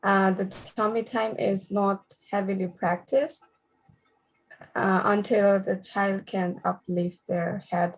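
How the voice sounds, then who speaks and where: A woman's voice: medium pitch, little pitch movement, slow pace, poor audio. A woman from Nepal with a Nepali accent, reading out questions and explaining as a parenting program facilitator. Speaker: early childhood program facilitator.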